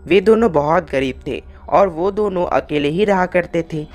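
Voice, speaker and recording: A woman narrator tells a scripted tale in storytelling style. Her voice is low-pitched for a woman. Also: moderate pace, a little mellow.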